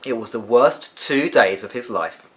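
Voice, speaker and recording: A man reading a children's story aloud as an expressive narrator. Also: mid-range voice, unhurried, poor audio.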